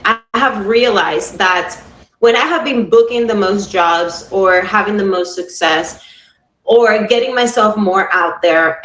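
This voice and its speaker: A woman's voice: medium pitch, fairly bright tone. A woman in her thirties from Cuba with a Cuban Spanish accent, hosting a livestream in an upbeat, chatty way and talking straight to her viewers. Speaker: livestream host. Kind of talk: casual livestream talk to viewers. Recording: echoey room.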